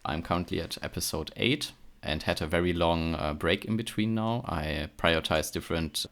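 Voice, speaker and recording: A deep-voiced man with a German accent talks through his research as a podcast guest. His voice is low and gravelly. He hesitates often, filling gaps with um and uh and restarting his sentences. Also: normal speed, a bit bright. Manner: hesitant, many fillers and restarts. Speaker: podcast guest, chemistry PhD. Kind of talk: casual interview chat.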